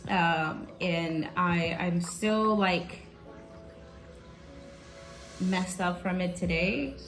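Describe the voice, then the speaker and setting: A woman's voice: on the low side, extremely slow, fairly bright tone, roomy sound, soft background music, low-quality recording. A young woman from the South Bronx, telling a personal story in a casual, candid vlog and swearing now and then. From South Bronx, New York. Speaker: vlogger.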